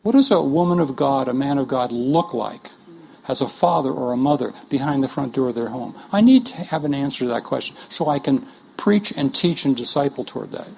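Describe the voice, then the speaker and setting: A man's voice: medium pitch, lively intonation, brisk, gravelly, darkish tone, rough recording. A man leading a workshop for pastors, talking in an earnest, conversational way with joking asides and frequent restarts. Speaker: workshop leader teaching pastors. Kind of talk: conversational teaching talk.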